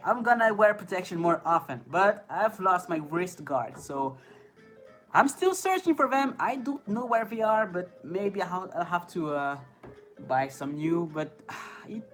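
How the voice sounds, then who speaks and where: A man's voice: high voice, animated, moderate pace, fairly bright tone. A man with a French accent, a native French speaker, hosting a live stream where he reads chat questions aloud, hesitating with fillers and laughing often. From France. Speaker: inline skating streamer. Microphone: phone. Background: faint background music.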